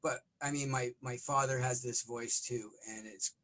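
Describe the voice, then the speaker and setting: A middle-aged man, a rock vocalist, talking in a casual interview with a smoky, raspy voice and lots of restarts. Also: medium pitch, steady tone, moderate pace, fairly bright tone. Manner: rambling, frequent restarts and fillers.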